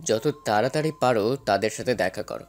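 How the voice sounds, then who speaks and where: A man playing a concerned, fatherly doctor in an audio story, advising and gently urging. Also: fairly low pitch, fairly quick, soft background music, close to an everyday voice.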